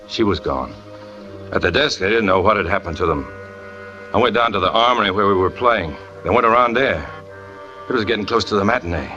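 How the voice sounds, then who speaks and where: A man's voice: low-pitched, bright, forceful tone, highs cut off. An actor playing a jealous, tormented young circus catcher who narrates his own story in a 1940s radio drama. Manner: brooding first-person confession, rising to anguish. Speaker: narrator and lead character.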